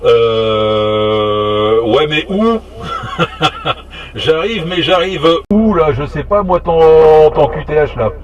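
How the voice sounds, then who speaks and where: A man chatting casually over amateur radio in a halting way, repeating words as he thinks. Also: fairly high pitch, animated. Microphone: two-way radio transmission. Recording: muffled two-way radio, faint noise.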